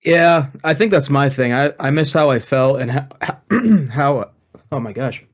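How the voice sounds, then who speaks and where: A man co-hosting a casual podcast, thoughtful, clearing his throat as he loses his voice. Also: medium pitch, measured pace. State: losing his voice. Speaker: podcast co-host.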